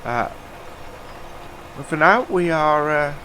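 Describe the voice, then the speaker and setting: A man's voice: on the high side, slow, pushed and bright, a little hiss or hum, poor audio. A man with a nasal, stuffed-up voice from a cold, rambling through casual let's-play commentary with lots of fillers. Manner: chatty, rambling, many uh fillers. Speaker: gaming channel host.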